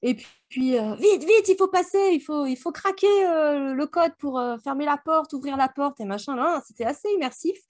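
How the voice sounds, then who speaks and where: A woman's voice: sits high, normal speed, hardly any pauses, darkish tone. A woman co-hosting a book club podcast, retelling story scenes in a colloquial, vivid, loosely organised way.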